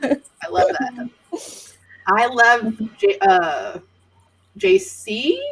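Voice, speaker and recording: A woman's voice: on the high side, big pitch swings, very slow, breathy edge, poor audio. A woman co-hosting a group livestream, chatting casually in a giggly, effusive manner.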